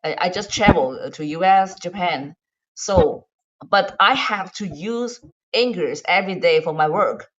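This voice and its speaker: A woman who is a native Chinese speaker with a Chinese accent, chatting casually in halting English and often repeating words. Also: on the low side, a bit bright. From China. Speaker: medical device regulatory consultant. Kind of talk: casual language-practice chat. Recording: dull lo-fi online call audio.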